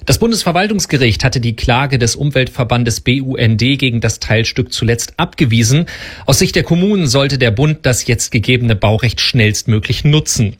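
A man's voice: medium pitch, lively intonation, normal speed, breathy edge. A man filing a radio news report in a matter-of-fact way.

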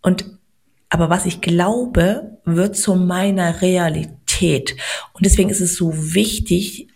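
A middle-aged woman with a gravelly edge to her voice talks about money beliefs on a podcast. She speaks earnestly and warmly encourages the listener, and she slows right down on the words that matter. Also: fairly low pitch. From Poland. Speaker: podcast guest, money coach. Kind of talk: motivational podcast talk.

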